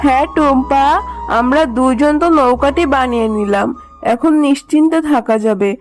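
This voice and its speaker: High-pitched motherly cartoon fox, voiced by a woman. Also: moderate pace, faint music behind, close to an everyday voice, poor audio. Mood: calm, hopeful. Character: caring, practical mother fox, worried but hopeful. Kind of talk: children's cartoon dubbing.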